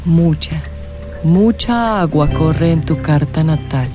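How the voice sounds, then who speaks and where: An actress playing an eccentric, gushing and flirtatious witchy astrologer in a radio drama, with dramatic, suspenseful phrasing. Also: low voice. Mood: awed, dramatic. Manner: dramatic, suspenseful drawn-out delivery with whispers. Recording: music playing behind the voice.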